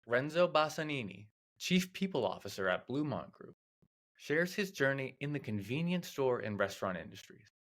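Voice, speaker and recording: A man's voice: mid-range voice, a bit bright. Man reading a scripted show intro. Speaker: show announcer.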